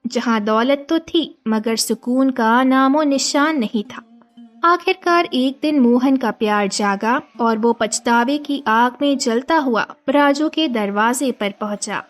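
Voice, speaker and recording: A woman narrating a moral tale as a scripted storyteller. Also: sits high.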